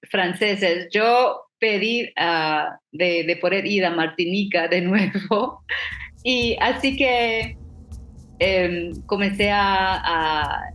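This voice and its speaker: A middle-aged woman from Trinidad with a Trinidadian accent, a native English speaker telling her story as a professional interpreter, with halting restarts and fillers. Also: medium pitch, fairly bright tone. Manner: halting, frequent restarts and fillers, occasional light laughs. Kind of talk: personal storytelling talk. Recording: roomy echo.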